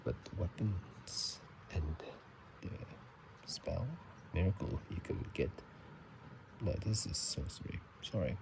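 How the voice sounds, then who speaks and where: A man speaking in a soft ASMR whisper and murmur, casual and halting, recorded on a phone microphone. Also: low voice, gravelly. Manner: halting, casual, self-correcting. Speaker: channel host. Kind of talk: whispered ASMR game commentary. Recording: faint noise, phone microphone.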